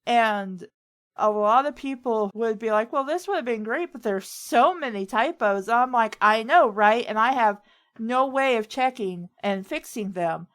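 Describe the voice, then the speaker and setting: A woman chatting casually as a host, giving informal advice and personal anecdotes. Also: a little high. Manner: informal, anecdotal, occasionally profane. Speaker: host of a writing advice talk.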